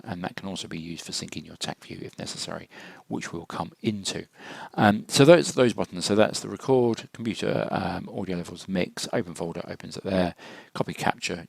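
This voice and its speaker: A man with a very low, husky voice presents an informal software tutorial. He explains things matter-of-factly with some hesitation, with fry in his tone. The sound is dry and close to the microphone. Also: no echo. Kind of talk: informal software walkthrough narration. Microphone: close computer microphone, screen-recording voice-over.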